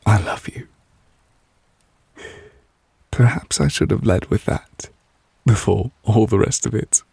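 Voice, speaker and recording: Guy doing a tender possessive anime witch boyfriend, really breathy low voice, slow, asmr roleplay. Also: no echo, studio quality, heavily acted. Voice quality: breathy, soft, often dropping to a whisper. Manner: slow, tender, murmured endearments. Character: devoted, possessive yandere male witch, tender and teasing. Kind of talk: intimate ASMR audio roleplay.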